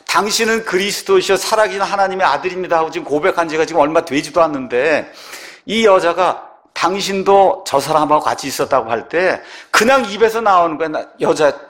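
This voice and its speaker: A man's voice: fairly high pitch, fairly bright tone. A man preaching a sermon, asking the congregation questions and acting out the lines he quotes. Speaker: pastor.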